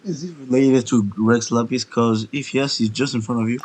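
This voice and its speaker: A man's voice: mid-range voice, steady tone, moderate pace, a little mellow. A man giving informal, blunt commentary while playing a video game. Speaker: let's play gamer. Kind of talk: casual gameplay commentary. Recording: game music behind voice. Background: video game music.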